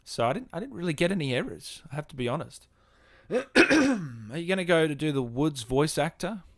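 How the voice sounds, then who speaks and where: A man's voice: a little high, sing-song, moderate pace, fairly bright tone, no room sound. Australian man chatting casually, dry close sound. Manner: informal, chummy, addresses viewers as guys and man. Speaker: gaming streamer and host. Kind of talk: casual livestream chat, answering viewers' comments.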